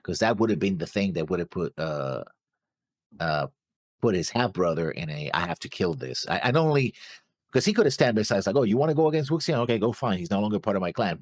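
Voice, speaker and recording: A man's voice: low-pitched, no room sound. A man co-hosting a reaction show, casually working through theories, insistent but often searching for words. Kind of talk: casual theorizing about an animated series.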